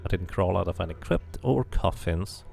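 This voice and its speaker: A man voicing a calm, curious male game protagonist who asks plain questions. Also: deep voice, normal speed, vocal fry, faint music behind, voice pushed down. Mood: calm, dry.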